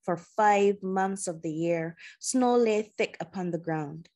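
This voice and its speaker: A woman narrating a children's novel in audiobook style, with measured, deliberate phrasing and pauses. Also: medium pitch, a little mellow.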